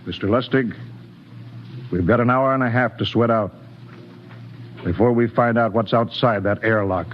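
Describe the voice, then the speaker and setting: An actor playing a firm, cautious spaceship captain, giving crisp, authoritative orders in a 1950s radio drama. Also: male voice, mid-range voice, normal speed, pauses often, slight background noise, close to an everyday voice. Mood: steady. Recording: dull old radio recording with hall reverb.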